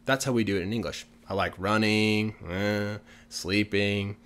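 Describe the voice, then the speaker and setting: Lively man teaching English. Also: lowish voice. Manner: animated, slows down to model example phrases. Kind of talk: casual video lesson. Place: living room with gray sofa, indoors. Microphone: large studio mic on arm in front.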